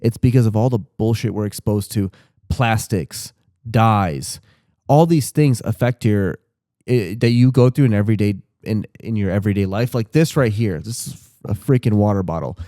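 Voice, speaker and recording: A man's voice: fairly low pitch, normal speed, dark tone, no room sound, clean studio sound. A man in his thirties talking casually on a podcast, candid and rambling, with restarts and casual swearing. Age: 30s. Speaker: podcast co-host.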